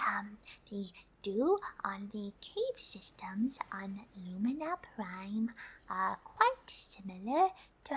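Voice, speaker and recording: A woman voicing a cute, friendly, curious pink alien in a soft, breathy, gently hesitant ASMR whisper. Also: mid-range voice, heavily acted. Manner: gentle, hesitant, third-person speech with careful scientific wording. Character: cute, curious, friendly pink female alien explaining human summer tips. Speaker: host.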